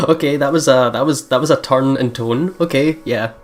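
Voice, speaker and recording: A let's play host talks casually through a visual novel, switching between reading narration and excitable commentary. The voice sits at a medium pitch, with faint game music behind it. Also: normal speed.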